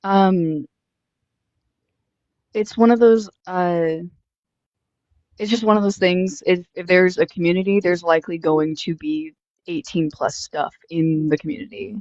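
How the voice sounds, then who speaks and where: A woman's voice: lowish voice, steady tone, a little slow, pauses often, a little mellow, no room sound. Chatty young woman, muffled dry sound. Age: 20s. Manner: chatty, friendly, many fillers. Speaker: host interviewing strangers. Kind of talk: casual street interview.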